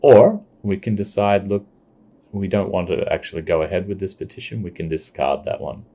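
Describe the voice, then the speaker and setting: A man giving a plain, step-by-step screencast walkthrough of software. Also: sits low. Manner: plain step-by-step explaining, unhurried. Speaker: software demonstrator. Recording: dull lo-fi recording.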